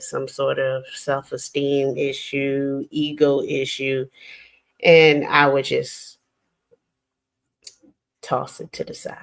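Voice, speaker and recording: An older woman hosting a casual late-night livestream, talking in a slow, reflective, rambling way with many pauses. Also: a bass voice, vocal fry. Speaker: livestream host.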